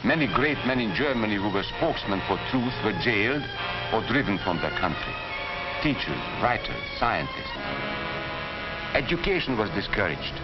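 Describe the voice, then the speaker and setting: An actor as an earnest, emphatic narrator telling a grave warning in a 1940s propaganda film style. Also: male voice, fairly low pitch, expressive, moderate pace, close to an everyday voice. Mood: grave, indignant. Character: male storyteller warning gravely how Germany lost its freedom. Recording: dull vintage film soundtrack with music.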